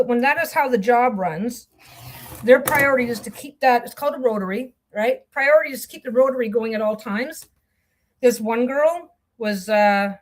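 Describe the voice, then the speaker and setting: A middle-aged woman talking casually while she sews, telling a long meandering story with many restarts and distracted asides. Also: a little high. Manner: chatty, meandering storytelling with frequent restarts and self-interruptions; distracted asides about her sewing. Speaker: vlogger sewing on camera. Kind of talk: rambling casual chat while sewing.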